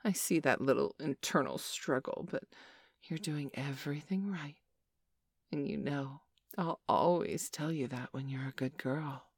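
A woman's voice: sits low, unhurried, slightly rough, no room sound. A woman performing an intimate roleplay, teasing and commanding, slipping often into whispers.

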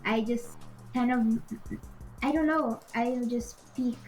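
A young woman with a Brazilian Portuguese accent chats on a live stream, offhand and blunt. Her voice sits somewhat high. Quiet music plays underneath. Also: takes it slowly. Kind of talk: casual chat.

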